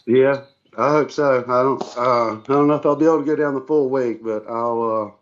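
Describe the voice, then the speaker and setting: A man being interviewed casually as a guest over the phone, speaking in a plain, low-key, somewhat hesitant way. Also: fairly even intonation, moderate pace. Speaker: guest, tournament kayak angler. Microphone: remote phone call. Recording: dull, band-limited phone call audio.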